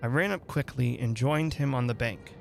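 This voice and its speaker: Guy doing a dramatic horror story reading, very gravelly, measured and kinda formal. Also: mid-range voice, faint music behind. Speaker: narrator, dramatic reader.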